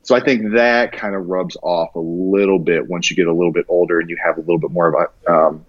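A man's voice: sits low, fairly even intonation, fast, dry recording, highs cut off. A man in his thirties chatting informally as an interview guest, slangy and self-deprecating. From grew up mostly overseas in Japan. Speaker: podcast guest, branding designer. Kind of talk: casual interview conversation, personal anecdotes.

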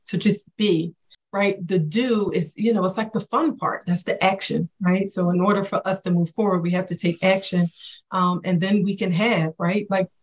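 A woman's voice: medium pitch, fairly even intonation, moderate pace, rough recording. A woman in her forties, a business coach talking in a casual podcast chat, enthusiastic and often tagging "right?" onto her points.

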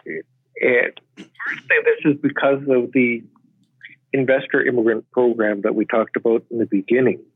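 A Canadian guest explaining immigration in an interview, speaking in a measured, hesitant way with many uh's. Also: male voice, mid-range voice, lively intonation, husky, no echo. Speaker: podcast guest, immigration expert. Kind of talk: interview answers. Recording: tinny phone line.

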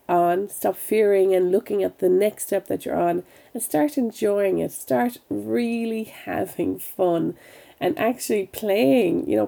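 A middle-aged woman hosts her podcast with eager, encouraging energy, sprinkling her talk with ums and you knows. Her voice has a gravelly fry and sounds a bit croaky this week. The tone is mellow and soft-edged rather than bright. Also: lowish voice. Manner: enthusiastic, encouraging, with fillers. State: slightly croaky voice. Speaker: podcast host. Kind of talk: upbeat motivational podcast talk.

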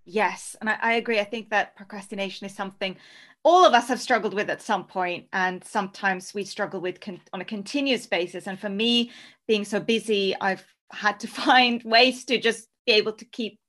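A woman's voice: mid-range voice, steady tone, moderate pace, fairly bright tone. Earnest woman coach. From Norway. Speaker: podcast guest, life coach. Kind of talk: conversational interview answers.

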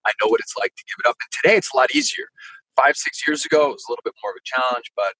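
A man's voice: medium pitch, huge pitch swings, racing, sharp tone, no echo, low-quality recording. A middle-aged man telling a personal story as a guest in a casual interview, with many fillers and restarts. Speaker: guest, vibroacoustic therapy practitioner.

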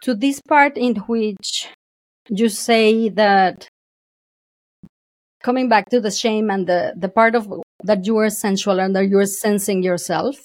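A woman's voice: mid-range voice, unhurried, no echo. A woman guest in a casual podcast conversation, talking expressively and laughing often. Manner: expressive, laughs often, acts out quoted voices.

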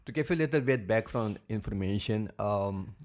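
A man's voice: on the low side, moderate pace, a little mellow. A Dutch man co-hosting a podcast in English, explaining ideas earnestly and leaning often on "basically". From Netherlands. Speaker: podcast co-host. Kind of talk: casual podcast talk.

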